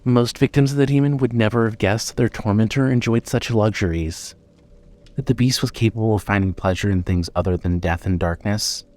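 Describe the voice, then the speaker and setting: A man narrating a scripted horror audio drama in a measured, dramatic storytelling manner. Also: medium pitch, warm tone.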